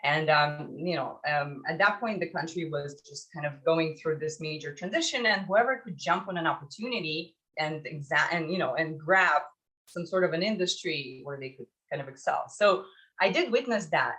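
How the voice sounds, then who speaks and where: A woman in her forties from Ukraine, telling stories in a reflective, halting way as an interview guest. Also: low-pitched, a bit bright, poor audio. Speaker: podcast guest, startup founder. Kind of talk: conversational interview storytelling.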